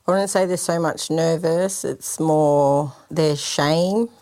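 Outreach worker, female, from Sydney: very low voice, casual and direct. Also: slow pace, warm tone, dry recording. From Sydney, Australia. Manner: plain-spoken, informal. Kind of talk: radio interview answers.

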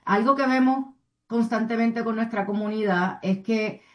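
A woman speaking as a community advocate in an interview, earnest and somewhat halting, often adding tag questions. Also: fairly high pitch. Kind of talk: interview answers, civic advocacy.

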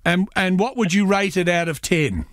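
A man's voice: high voice, moderate pace, fairly bright tone, dry recording, studio quality. A man hosting a casual radio chat, playful and teasing, breaking into bits of song. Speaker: radio host.

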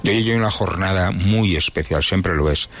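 A man with a deep, low voice reads through the local news on the radio. He keeps it casual and chatty, pausing on fillers as he goes. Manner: relaxed, chatty, halting with fillers.

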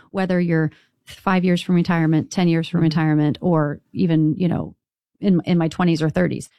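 A woman's voice: lowish voice, gravelly, dark tone, no echo, clean studio sound. A middle-aged woman, a financial advisor, explaining retirement money matters in a conversational way on a call-in show. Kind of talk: radio call-in advice chat.

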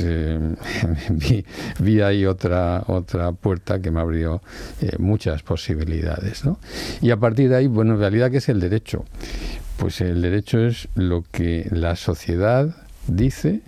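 An older man from Spain, a native speaker of Valencian, calmly explaining his views in an interview with many "eh" fillers. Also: deep voice, creaky, no room sound. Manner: measured, explains with rhetorical questions and many "eh" fillers. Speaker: interviewee, law professor and judge. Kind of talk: reflective interview answers.

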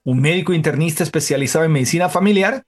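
A man's voice: a little high, rapid-fire. A man hosting a health interview program, introducing topics and welcoming his guest in a chatty way. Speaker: health show host.

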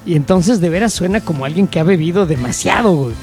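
Man, Mexican accent, high voice, slangy and loud, laughs a lot, faint music. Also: lively intonation, quick pace. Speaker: show host. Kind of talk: casual comedic chat.

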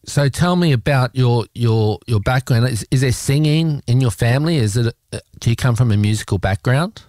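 An Australian man hosts a radio show in a relaxed, rambling way, filling pauses with "um" and "uh". His voice sits at an ordinary pitch. The sound is dry and close. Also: no echo. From South Australia. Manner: rambling, chatty, with many fillers. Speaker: radio host. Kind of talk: casual community radio chat.

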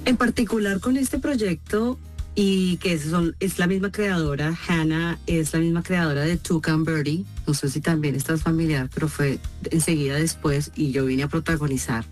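A Colombian woman, a voice actress, answering interview questions in an expressive storytelling way. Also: deep voice, moderate pace, soft background music. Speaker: voice actress guest.

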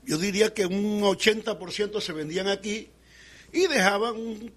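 A man's voice: high voice, moderate pace, a bit bright. A middle-aged man with a Caribbean Colombian accent, reminiscing conversationally as a panelist in a sports talk. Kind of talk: conversational reminiscing about football.

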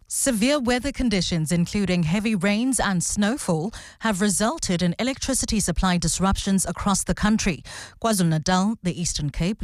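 A woman's voice: medium pitch, no room sound, clean studio sound. Woman reading radio news. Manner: crisp, measured news delivery. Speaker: newsreader.

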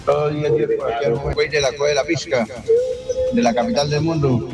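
High-voiced Colombian cabbie, distant radio message. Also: male voice, fairly even intonation, fairly quick, over loud music. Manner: friendly, devout greetings. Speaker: taxi driver. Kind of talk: colloquial radio dispatch message.

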